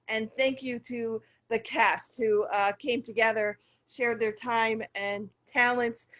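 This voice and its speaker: A woman hosts an online event, giving informal introductions and thanks. Her voice is a little high, and the call audio sounds slightly muffled. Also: a bit bright. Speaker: host of an online table read. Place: remote video call. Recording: dull video-call audio.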